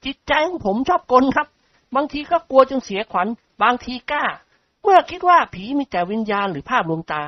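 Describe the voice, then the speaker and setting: A reader voicing a joking, cowardly young man who talks high and excitable. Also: brisk, no echo, lo-fi sound. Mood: jittery.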